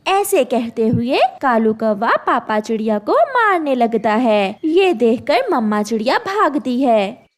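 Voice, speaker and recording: A woman's voice: a little high, sing-song, unhurried. A woman narrating a scripted cartoon story as the storyteller.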